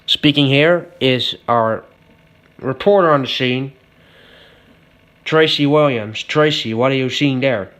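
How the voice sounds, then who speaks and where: A man playing a TV news anchor in an acted broadcast, formal but quick to disbelieve. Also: mid-range voice, a bit bright. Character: incredulous male TV news anchor.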